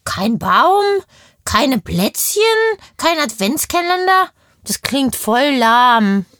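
Little kindergarten girl played by a woman for children's audio play: high voice, curious, cheeky, chatty, close dry sound. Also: very animated, takes it slowly, fairly bright tone, no room sound, exaggerated voice. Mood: puzzled. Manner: childlike slang, emphatic, cheeky.

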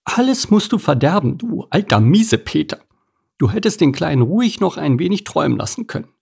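Man doing a high storybook lady crayfish, sing-song, gravelly, husky. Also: big pitch swings, brisk, darkish tone, full character voice. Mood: indignant. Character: dreamy motherly female crayfish, indignant.

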